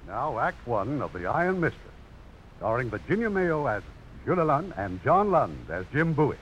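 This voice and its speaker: A man hosting a vintage radio drama, narrating from a script with formal, dramatic, deliberate phrasing. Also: medium pitch, very animated, soft background music. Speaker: radio producer and host. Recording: muffled old radio broadcast recording.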